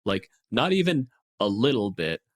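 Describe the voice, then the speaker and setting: A man co-hosting a casual tabletop game discussion, explaining things in an animated way and swearing freely. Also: fairly low pitch, normal speed. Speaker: podcast co-host.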